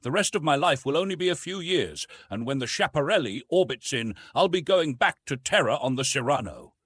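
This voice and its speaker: A man's voice: on the high side, lively intonation, fairly quick, fairly bright tone, breathy edge, dry recording. A man voicing a weary old scholar. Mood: resigned. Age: older. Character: aging male scholar, weary and resigned. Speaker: archaeologist.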